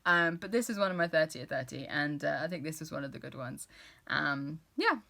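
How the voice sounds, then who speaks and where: A British woman in her early thirties talks through her reading in a loose, rambling way, full of ums and likes. Her voice sits a touch on the low side. She sounds keen and chatty throughout. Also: animated, fairly quick, gravelly, pushed and bright. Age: 30s. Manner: chatty, rambling, enthusiastic with frequent fillers. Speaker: book vlogger. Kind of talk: casual book review chat.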